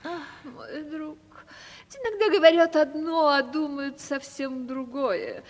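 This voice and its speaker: An actress playing a coquettish, capricious aging landowner lady in a radio play, with affected, drawn-out theatrical delivery. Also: high-pitched. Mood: fretful, confiding. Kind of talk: theatrical 1970s Russian radio play acting. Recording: stage-like reverberant archival radio drama recording.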